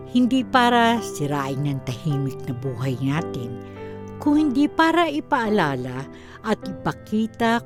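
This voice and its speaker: A woman delivering scripted dramatic narration in a reflective, measured way, as a radio drama narrator reading a letter. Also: on the low side.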